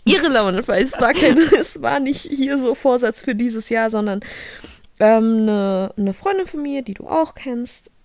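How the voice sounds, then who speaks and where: A woman's voice: on the high side, expressive, measured pace, no echo. Chatty young woman, dry close sound. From Germany. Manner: chatty, laughs often, trails off and restarts. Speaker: podcast co-host. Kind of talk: casual podcast chat.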